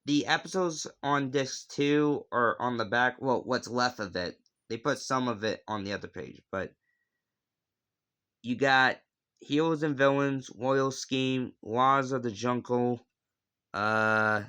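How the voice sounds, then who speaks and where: Man, medium-pitched voice, video host, casual opinion vlog, conversational, digressive, unscripted. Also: slow pace, bright, forceful tone, smooth. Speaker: YouTube video host reviewing animated shows.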